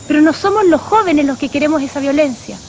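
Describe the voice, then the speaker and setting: High-pitched Chilean woman, noisy archive recording. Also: fast, a little mellow. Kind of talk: political campaign address.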